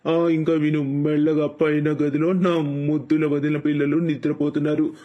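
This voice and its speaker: A man playing a cruel, greedy brother-in-law in a cartoon story, mocking and slowly menacing as he gloats. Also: fairly high pitch, little pitch movement, dull audio, natural voice. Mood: gloating menace. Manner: mocking, slow drawn-out menace. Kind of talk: animated moral story acting.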